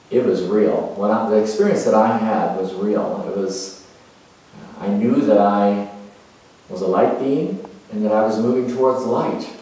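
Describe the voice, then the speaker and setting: A man playing an earnest, reflective spiritual seeker in a dramatized monologue, speaking haltingly and conversationally with pauses. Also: lowish voice, mellow, close to an everyday voice. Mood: earnest, wondering. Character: earnest spiritual seeker, male adventurer turned motivational broadcaster. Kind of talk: monologue in character, delivered conversationally. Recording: echoey room, distant microphone.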